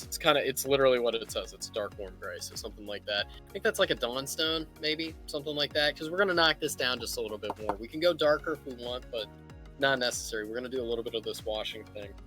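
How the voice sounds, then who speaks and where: Bright-voiced man hosting a casual tutorial. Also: medium pitch. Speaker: host of a livestream painting tutorial. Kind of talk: casual live tutorial commentary. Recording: music playing behind the voice. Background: music.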